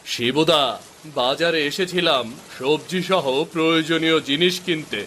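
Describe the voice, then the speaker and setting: A man's voice: fairly high pitch, a little slow. A man playing a meek, worried village husband and father in a cartoon, with rain behind him. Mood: anxious. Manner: apologetic, anxious. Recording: steady rain noise behind voice.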